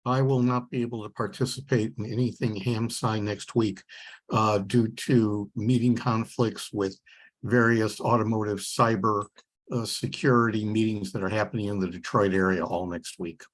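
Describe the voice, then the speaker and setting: Lowish-voiced man in a meeting. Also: fairly even intonation, normal speed. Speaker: meeting participant. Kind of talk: informal online meeting talk. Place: online conference call.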